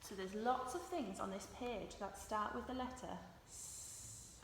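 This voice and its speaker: A woman teacher reading a storybook and teaching phonics to young children, deliberate and instructional, often questioning. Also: medium pitch, gravelly. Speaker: early years teacher. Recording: echoey hall-like room with noise.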